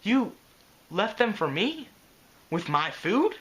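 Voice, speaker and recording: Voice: mid-range voice, big pitch swings, slow pace, a bit bright, husky, pitched above the performer's own voice, exaggerated voice. A reader voicing an earnest, eager young dragon boy. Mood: dazed.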